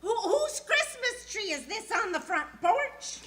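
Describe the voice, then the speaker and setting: A woman's voice: extremely high pitch, very animated, measured pace, background noise, full character voice. A woman playing a patient, wry, dryly unimpressed wife in a 1940s radio comedy.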